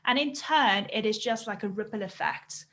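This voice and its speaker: A woman's voice: mid-range voice, normal speed, sharp tone. A woman from South Africa whose first language is Afrikaans, speaking English with a South African accent as a business coach guest in an earnest, casual interview chat.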